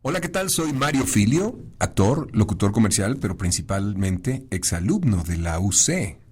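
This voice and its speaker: Male voice actor, smooth promo read, low and animated. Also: moderate pace. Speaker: voice actor, commercial announcer. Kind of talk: recorded station promo.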